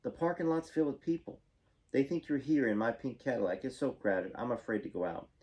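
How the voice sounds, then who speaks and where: Anxious, weary middle-aged mother in a read-aloud story, voiced by a man, medium male pitch. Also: fairly quick, darkish tone, lightly acted, poor audio. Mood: fearful. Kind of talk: acted dialogue in a story reading.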